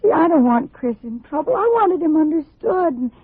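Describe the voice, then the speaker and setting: A woman's voice: high voice, lively intonation, normal speed, no room sound. An actress playing an anxious, earnest woman of about twenty in a 1950s radio drama, timid and hesitant. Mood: pleading. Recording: tinny, dry old radio recording.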